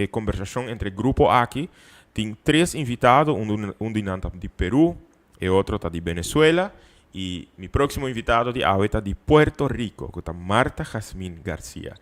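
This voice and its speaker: A man, a Papiamento speaker, hosting a conversational interview in a wordy, halting way. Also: fairly low pitch. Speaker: talk show host.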